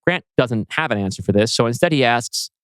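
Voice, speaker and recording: A man's voice: medium pitch, lively intonation, brisk, a bit bright, clean tone, no echo. A man reading scripted screenplay narration as writer and narrator.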